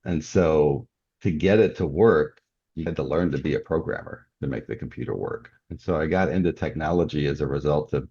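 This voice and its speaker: A man's voice: extremely low pitch, fairly quick, dark tone, slightly rough. An older man talking casually as a guest in a podcast interview, often chuckling as he speaks. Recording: dull, muffled lo-fi audio.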